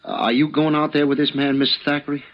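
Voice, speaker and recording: A man's voice: on the high side, little pitch movement, quick pace, natural voice. An actor playing a wary, threatening frontier townsman with a folksy, firm manner in an old-time radio western. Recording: dull, lo-fi old radio recording.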